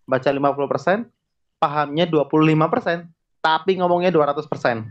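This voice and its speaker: A man's voice: a little high, a little fast. Young man explaining on a dull-sounding podcast. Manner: explains in relaxed slang, chuckling often. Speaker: podcast guest. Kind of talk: casual podcast chat.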